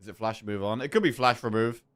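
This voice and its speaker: A man's voice: mid-range voice, fast talker, soft background music. A man with a sore throat giving casual gameplay commentary as a streamer, thinking aloud through his choices. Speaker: game streamer.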